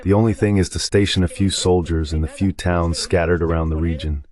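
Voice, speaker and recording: A man's voice: low-pitched, little pitch movement, fairly quick, darkish tone. A man giving conversational, explanatory military commentary as a guest analyst, in a translated voice-over. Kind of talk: live war commentary read as an AI voice-over translation.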